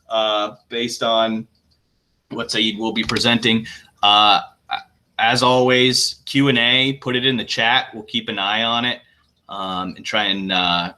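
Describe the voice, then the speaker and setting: A man hosting a webinar over a video call, introducing the guest in a relaxed way with fillers. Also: fairly low pitch. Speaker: webinar host. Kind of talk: casual introduction.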